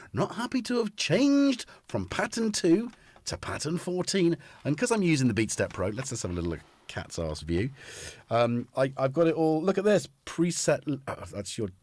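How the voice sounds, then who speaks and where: A man's voice: medium pitch, husky. A man hosting a casual livestream from his home music studio, rambling and joking and often cutting himself off mid-sentence. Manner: rambling, self-interrupting, jokey. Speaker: livestream host.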